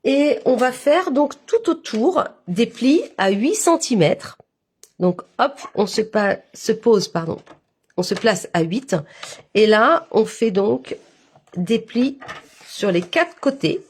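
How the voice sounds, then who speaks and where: Woman, head cold, nasal, breathy, craft tutorial, halting delivery. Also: a little high, big pitch swings. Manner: halting, many fillers, step-by-step. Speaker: papercraft instructor. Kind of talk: live-stream craft tutorial.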